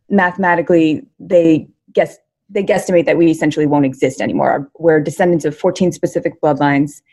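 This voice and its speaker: A woman from Connecticut, speaking as a podcast guest in a slightly low voice. She talks in a chatty, self-amused way with rambling asides. She is calling in over video from a hotel room. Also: darkish tone. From Connecticut, United States. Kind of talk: casual interview talk.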